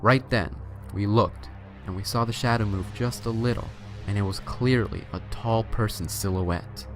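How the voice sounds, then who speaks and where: A man with a fairly low voice narrates a creepy tale as its host. His delivery is theatrical and builds suspense. Some noise sits behind the voice. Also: fairly bright tone. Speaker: host and story reader. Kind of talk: scary storytelling narration.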